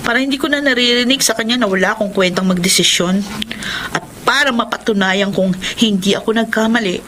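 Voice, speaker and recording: An actress playing a caring but nagging young wife in a Filipino radio drama, expressive and conversational. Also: female voice, a little high, fairly quick, close to an everyday voice. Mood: earnest, firm. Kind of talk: Tagalog radio drama acting. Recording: studio radio drama with clear background noise.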